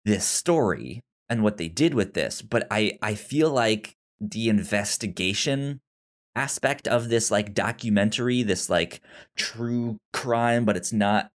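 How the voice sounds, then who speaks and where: A man with a fairly low voice talks as a podcast co-host in a loose film discussion. He is halting and rambling, often doubling back to restart his phrases. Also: expressive.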